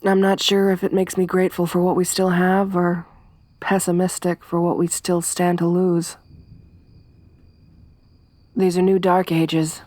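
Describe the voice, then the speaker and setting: A young woman voicing a tough, tomboyish survivor companion in an ASMR roleplay, speaking softly with a wry, weary warmth. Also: on the low side, little pitch movement, measured pace, long pauses, dry recording, lightly acted. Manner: soft-spoken, wry, quietly reflective.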